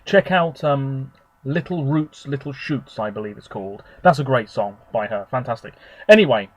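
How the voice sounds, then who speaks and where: A man hosting his own video, talking casually and at length about his favourite entries, a little hoarse and husky from a sore throat. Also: mid-range voice, animated, moderate pace. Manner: rambling, chatty, opinionated. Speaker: video host. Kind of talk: casual solo commentary.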